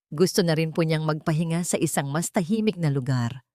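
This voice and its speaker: A woman's voice: deep voice, fairly quick, warm tone, no room sound, clean studio sound, lightly acted. A woman voicing a nervous, scheming adult son in an audio drama, hasty but outwardly respectful.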